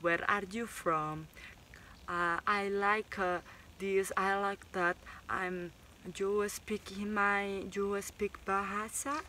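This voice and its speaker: A woman imitating a friendly local stranger who speaks slow, broken English. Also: mid-range voice, steady tone, sharp tone, a little hiss or hum, pitched above the performer's own voice, poor audio. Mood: eager.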